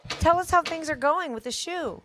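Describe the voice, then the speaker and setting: A woman's voice: high-pitched, normal speed, noisy background, natural voice. A woman playing an upbeat, take-charge home renovation designer in a scripted fairy-tale parody. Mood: businesslike, upbeat.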